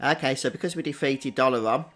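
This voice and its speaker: Man casually commentating a game, medium pitch. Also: quick pace, fairly bright tone, soft background music. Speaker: game streamer.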